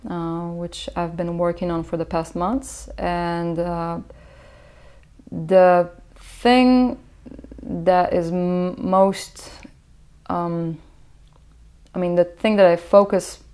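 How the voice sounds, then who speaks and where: A young Israeli woman, a native Hebrew speaker, talks about her work in a low, level voice that barely rises or falls. She thinks aloud, pausing on fillers and restarting her sentences in an unhurried, reflective interview manner. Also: fairly even intonation, mellow, clear, resonant tone. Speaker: musician. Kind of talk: interview answers.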